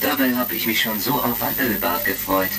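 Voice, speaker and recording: A man's voice: medium pitch, fairly quick, vocal fry, close to an everyday voice. A man playing a huge, polite, literal-minded combat robot that announces its emotions in a retro sci-fi radio drama. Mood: weary surprise. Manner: announces its emotions aloud, pedantically precise. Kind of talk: retro science-fiction radio drama acting. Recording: crackly vintage record, distant, music behind.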